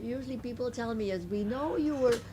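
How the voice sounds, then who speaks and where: An older woman with a slight Hungarian accent, a native Hungarian speaker, answering audience questions at a book talk in a playful, affectionate, deliberate way. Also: a little high, warm tone. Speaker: author and professor giving a book talk. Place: bookstore event.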